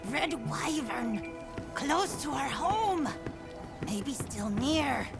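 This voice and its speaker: High, slow-talking little creature. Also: female voice, animated, gravelly, music in the background, exaggerated voice. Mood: wary. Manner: halting broken phrases. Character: small tailed creature villager, agitated, speaking broken simple sentences. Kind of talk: video game character acting.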